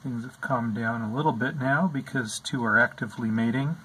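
A man calmly narrating over footage of his pet insect tanks, explaining what they do as he films. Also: medium pitch, a little hiss or hum, poor audio. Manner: slow, calm, explanatory. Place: indoors at an insect tank.

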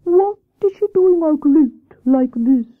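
A woman voicing a cool, bossy male owl in a children's story, with a drawn-out, commanding delivery. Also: sits high, expressive, phone-quality sound, pitched above the performer's own voice. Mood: cool, haughty. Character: imperious, cool old-fashioned male owl, drawling and bossy.

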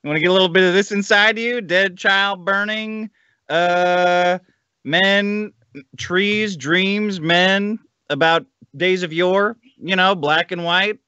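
A man's voice: high voice, fairly even intonation, slow pace, sharp tone, clear, resonant tone, no room sound, dull audio. A man bantering crudely, with a mocking, profane, exclaiming manner. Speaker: podcast guest.

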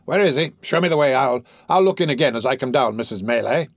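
A man voicing a jolly, eccentric, stout old bachelor doctor who blusters and fusses excitedly. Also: medium pitch, fast, dry recording, studio quality. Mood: hearty.

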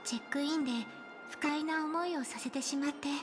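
A woman acts a gentle young female singing star from a video game. Her voice is high, with graceful, courteous phrasing. Music is clearly heard behind her. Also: steady tone, brisk, natural voice. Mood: apologetic. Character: gracious, gentle young female celebrity singer. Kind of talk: Japanese video game voice acting.

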